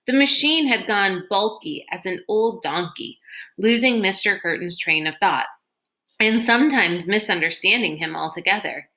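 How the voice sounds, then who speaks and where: Bright-voiced woman reading aloud slowly. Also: medium pitch. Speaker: storybook reader. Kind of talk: expressive read-aloud narration.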